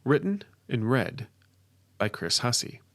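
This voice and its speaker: A man narrating a scripted fantasy story as its author, in a deliberate, dramatic and expressive way. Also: mid-range voice, creaky, no room sound.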